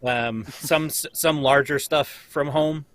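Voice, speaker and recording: A man's voice: a little high, steady tone, unhurried, a bit bright. An American man who teaches college, chatting casually in a group conversation.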